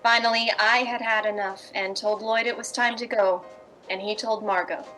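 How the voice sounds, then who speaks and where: A worldly, reassuring wife in a 1950s radio play, acted by a woman. Her mid-pitched voice is poised and measured, with a wry storyteller's touch. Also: fairly even intonation, sharp tone, faint music behind, close to an everyday voice, low-quality recording. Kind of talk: 1950s radio drama acting and narration.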